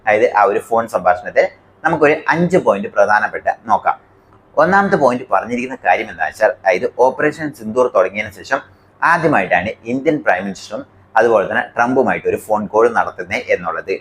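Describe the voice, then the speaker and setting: A man's voice: lowish voice, expressive, fast, a bit bright, slight background noise. A man from India with a Malayali accent explains the news to viewers as a commentary host, emphatic and point by point.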